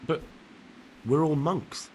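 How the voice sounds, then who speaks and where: An actor playing an earnest young novice monk in a video game, questioning and wary. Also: male voice, fairly high pitch, takes it slowly, a little hiss or hum. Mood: disapproving. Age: young adult. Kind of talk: video game cutscene acting.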